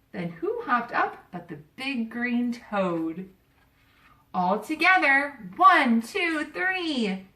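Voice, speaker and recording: A woman reads a rhyming picture book to children with a lively, expressive delivery. Her voice is bright and unhurried, recorded a little away from the mic in an echoey room. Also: high-pitched. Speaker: volunteer story reader. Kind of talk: children's storybook read-aloud. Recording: roomy echo, distant microphone.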